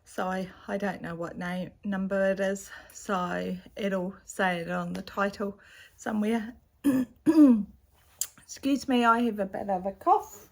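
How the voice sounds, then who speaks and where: A middle-aged woman with a slightly croaky voice, chatting casually at home about her cross-stitch projects with a rambling, chatty manner, recorded on a camera's built-in mic. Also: medium pitch, fairly even intonation, unhurried. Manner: chatty, rambling, with fillers and asides. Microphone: camera's built-in mic, no external microphone.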